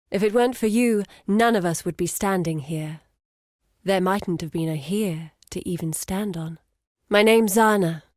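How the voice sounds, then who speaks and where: An actress plays a determined woman guide in a dramatic fantasy game. Her voice sits at a medium female pitch, with clearly articulated, serious phrasing. The sound is dry, with no room around it. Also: no echo, natural voice. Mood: composed, solemn. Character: earnest, determined woman guide searching for her lost father. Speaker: game character voice. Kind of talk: dramatic video game voice acting.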